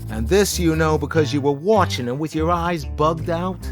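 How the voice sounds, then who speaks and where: An actor playing a weary, sarcastic hard-boiled cop questioning people in a noir-parody audio play. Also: male voice, fairly high pitch, moderate pace, soft background music, close to an everyday voice. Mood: sardonic. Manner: sardonic, interrogating.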